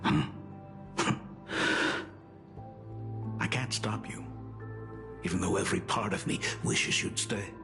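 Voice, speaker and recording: Grave artist father, lowish gravelly voice, tender, halting, game drama. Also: over music, exaggerated voice. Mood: tender, sorrowful. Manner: halting, grave, tender, breathy at phrase ends. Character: gentle, sorrowful artist father of a grown daughter. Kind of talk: video game character acting.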